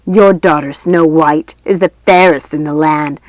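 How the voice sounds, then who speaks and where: Lady doing a nasty ghost dad in a mirror, slow n spiteful, evil storybook voice, super dry recording. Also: sits low, steady tone, dry recording. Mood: hateful, spiteful. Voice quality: horrible, wicked voice. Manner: spitting, slow, venomous delivery. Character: cruel hateful ghost of a father in a mirror.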